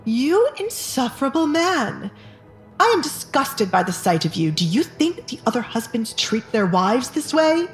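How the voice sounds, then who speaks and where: A woman playing a sharp-tongued, calculating wife who speaks insistently in an audio drama. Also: fairly high pitch, very animated, unhurried, soft background music, pitched above the performer's own voice. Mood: indignant. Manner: scolding, insistent.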